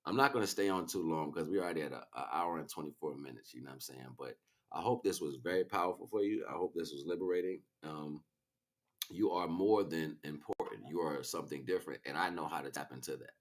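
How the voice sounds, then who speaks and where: A man's voice: a bass voice, fast. A man livestreaming an informal spiritual lecture, speaking off the cuff with emphatic repeated phrases and frequent check-ins with his listeners. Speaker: livestream host, spiritual teacher.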